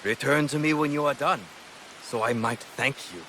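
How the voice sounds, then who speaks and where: A man playing a grave, dutiful samurai in a feudal Japan game, formal and deliberate. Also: mid-range voice, pushed and bright, slight background noise, lightly acted.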